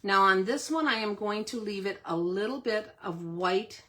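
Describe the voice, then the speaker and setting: Hoarse woman demonstrating card-making. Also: medium pitch, moderate pace, pushed and bright. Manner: chatty step-by-step instruction. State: hoarse, clearing throat often. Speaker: craft demonstrator.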